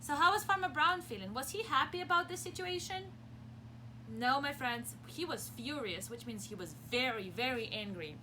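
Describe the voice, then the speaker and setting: A woman reading a picture book aloud to young children as a preschool teacher, expressively, pausing to explain simply and ask questions. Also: sits high, a little hiss or hum, poor audio. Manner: expressive, asks questions and pauses for answers.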